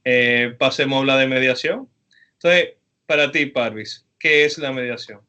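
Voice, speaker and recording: A man, a professor, interviewing a guest attorney for his students in an easygoing way, with frequent pauses and fillers. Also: mid-range voice, bright, forceful tone, smooth. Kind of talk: class interview over video.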